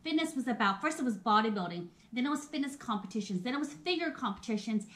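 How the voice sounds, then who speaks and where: Chatty woman in her thirties, fairly high voice. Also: expressive, fast talker, fairly bright tone. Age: 30s. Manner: chatty and personal, often laughing, addressing viewers directly. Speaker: host of a live video. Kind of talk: casual live-stream chat.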